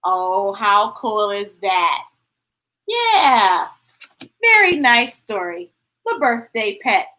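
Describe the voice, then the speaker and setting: A woman's voice: medium pitch, big pitch swings, drawn-out words, sharp tone. A woman reading picture books aloud to children, playful and chatty, breaking into sing-song and sound effects. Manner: chatty, talking directly to young listeners. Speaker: children's story read-aloud host. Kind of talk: animated picture-book read-aloud with chatty asides.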